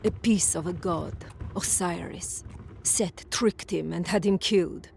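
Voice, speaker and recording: Low-voiced, resolute warrior priestess. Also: female voice, measured pace, gravelly, a little mellow, a little hiss or hum. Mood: grave, informing. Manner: grave, commanding, earnest. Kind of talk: video game cutscene acting.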